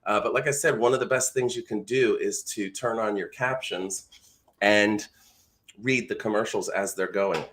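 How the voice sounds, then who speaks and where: A man's voice: on the low side, moderate pace, a bit bright. Encouraging American male voice-over coach. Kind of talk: casual live instruction.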